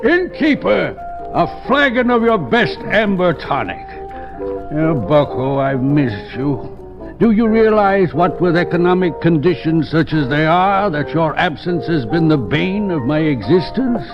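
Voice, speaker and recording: Florid, high-pitched old-radio barfly. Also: male voice, animated, unhurried. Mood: theatrical lament. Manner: florid, pompous mock-courtly speech. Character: down-and-out grandiloquent male barfly informant, theatrically self-pitying. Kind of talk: 1950s radio drama acting. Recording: muffled vintage radio recording with music.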